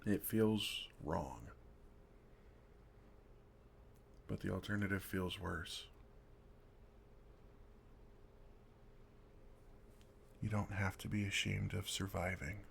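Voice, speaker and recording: Kind older male vampire, acted by a man for comfort ASMR: deep, soft-spoken, gently teasing, faint noise behind. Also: normal speed, gravelly. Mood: gentle reassurance. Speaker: ASMR roleplay performer. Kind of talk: intimate ASMR roleplay, second-person comforting.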